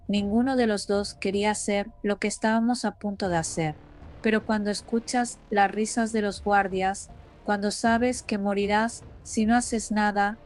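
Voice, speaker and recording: A woman's voice: mid-range voice, darkish tone. Woman narrating horror stories over music. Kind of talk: scripted first-person horror story narration.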